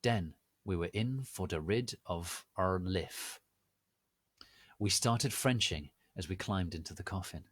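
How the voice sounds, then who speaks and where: A man giving a dramatic, deadpan reading of a story in a deliberate, theatrical manner. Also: low-pitched, no room sound, clean studio sound. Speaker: reader of a fan fiction story.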